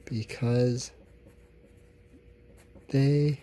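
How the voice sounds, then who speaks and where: A man's voice: medium pitch, level tone, very slow, mellow, slight background noise. A man teaching a recorded lesson, talking informally to his students and explaining things in a candid, conversational way.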